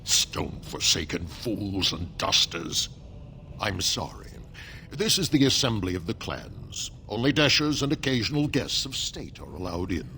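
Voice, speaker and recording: An actor playing an exhausted, stern but courteous dwarf assembly steward in a video game. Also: male voice, low voice, sing-song, measured pace, creaky, bright, forceful tone, a little hiss or hum, exaggerated voice. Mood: irritated. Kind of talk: video game dialogue acting.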